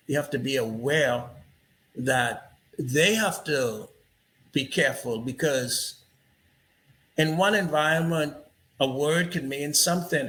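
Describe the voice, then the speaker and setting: A man's voice: on the high side, a bit bright, slight background noise. A man hosting an interview, talking reflectively and telling stories with frequent "you know" asides. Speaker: interview host. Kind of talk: casual interview conversation.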